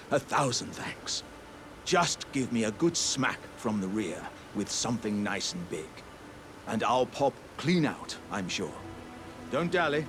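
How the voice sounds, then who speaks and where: A living warrior jar from a fantasy video game, jovial and boastful yet unfailingly polite. The delivery is unhurried and theatrical, swinging widely in pitch, with a gravelly grain. His phrasing is courtly and eager. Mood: relieved, genial. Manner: hearty, courtly, old-fashioned theatrical phrasing. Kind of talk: video game character dialogue.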